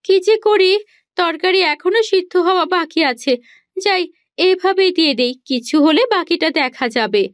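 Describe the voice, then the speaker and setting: A woman's voice: extremely high pitch, moderate pace, no echo, clean studio sound. A woman voicing a meek, foolish young village daughter-in-law in a cartoon. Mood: worried. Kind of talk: cartoon character acting.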